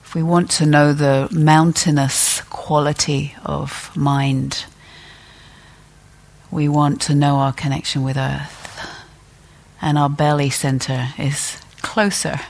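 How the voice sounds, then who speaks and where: A woman teacher leading a guided meditation in a gentle, deliberate way, leaving long pauses between phrases. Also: low-pitched, steady tone, gravelly.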